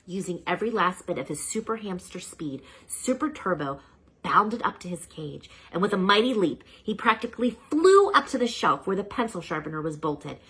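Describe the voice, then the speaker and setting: A woman reading a children's storybook aloud as narrator, with an expressive storytelling manner. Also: fairly low pitch, moderate pace, breathy edge, low-quality recording. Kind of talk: children's book read-aloud.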